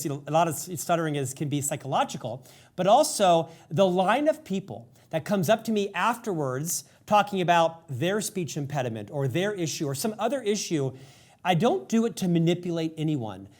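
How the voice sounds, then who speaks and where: A man hosting a leadership podcast, speaking earnestly in conversation with a guest, with a lifelong stutter. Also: sits high. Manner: earnest, engaged interviewer. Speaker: podcast host. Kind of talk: interview conversation.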